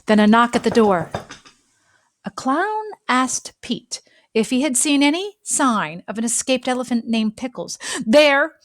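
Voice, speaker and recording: A woman reads a children's story aloud in an expressive narrating style, phrasing deliberately and leaving dramatic pauses. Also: on the high side, dry recording, clean studio sound. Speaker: storybook reader.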